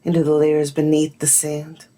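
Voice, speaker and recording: An actress playing a thoughtful woman musing on dark local history in a measured, journal-like audio drama monologue. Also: deep voice, completely flat delivery, lightly acted. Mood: pensive. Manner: measured, pensive.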